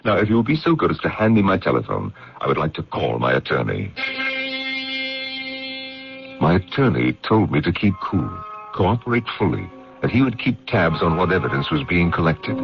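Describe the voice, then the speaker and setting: An actor playing a wary, indignant magazine editor with formal, dryly challenging speech, in old-time radio drama style. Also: male voice, low-pitched, big pitch swings, moderate pace, creaky, over music, exaggerated voice. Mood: measured. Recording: dull lo-fi radio recording.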